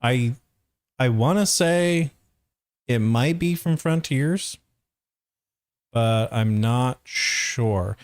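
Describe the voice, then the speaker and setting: A man's voice: medium pitch, very slow, long pauses, smooth, dry recording, clean studio sound. A man giving casual, hesitant stream commentary, thinking aloud as he reviews a dub. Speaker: voice actor reviewing a fandub.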